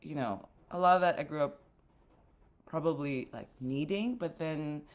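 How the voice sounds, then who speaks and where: A woman's voice: low voice, moderate pace. A young woman from the Philippines, a dancer and artistic director, talking reflectively in a casual interview with many fillers and restarts.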